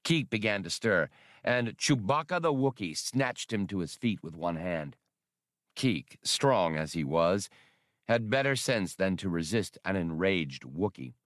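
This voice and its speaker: A man narrates an adventure audiobook in a fairly low voice. His delivery is dramatic and animated, with plenty of pitch movement, recorded dry and close. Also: measured pace, dry recording. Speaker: audiobook reader. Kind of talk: dramatic scripted fiction narration.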